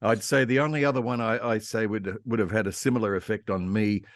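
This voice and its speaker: An older man with an Australian accent, reminiscing casually and haltingly as an interview guest. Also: fairly low pitch, fairly even intonation, no room sound, clean studio sound. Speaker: interview guest, radio historian and voice actor.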